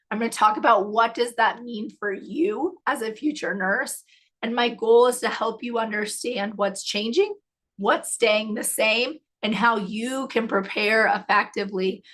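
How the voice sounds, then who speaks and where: A woman's voice: on the high side, a little slow, a bit bright. Woman, webinar host, scratchy failing voice, reassuring, chatty with fillers. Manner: upbeat, reassuring, filler-heavy. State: losing her voice, scratchy. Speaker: nursing education director hosting a webinar. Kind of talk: live webinar presentation.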